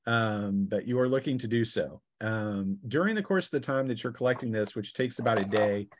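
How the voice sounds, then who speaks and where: A man working as game master, narrating a tabletop fantasy session in a halting way, thinking aloud as he goes. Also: fairly low pitch, no echo.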